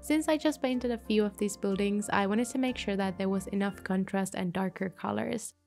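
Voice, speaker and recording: A woman giving a calm, gentle voice-over for her journal painting video, a little sick from a mild flu. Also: medium pitch, moderate pace, creaky, soft background music. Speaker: bullet journal creator. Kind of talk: voice-over narration of a craft video.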